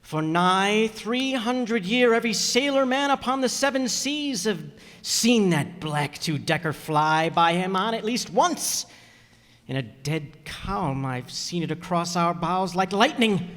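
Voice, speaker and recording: An actor playing a superstitious, excitable old seafaring uncle in a radio play, with rustic sailor dialect and emphatic warnings. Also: male voice, high-pitched, unhurried. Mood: awed insistence. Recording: echoey hall stage recording.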